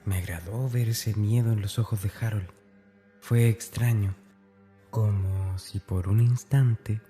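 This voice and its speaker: A male actor plays a tormented schoolboy confiding in his diary in a horror radio drama. The voice is fairly low and soft-edged, hesitant and brooding, with a dark streak. Light music plays underneath. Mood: dark pleasure. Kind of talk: confessional diary monologue in horror audio drama.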